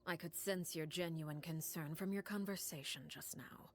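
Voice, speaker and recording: A woman voicing a composed, commanding female head of an orphan house in a video game, calm and measured. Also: low voice, background noise, natural voice. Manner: calm, measured, quietly intimidating. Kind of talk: game voice acting.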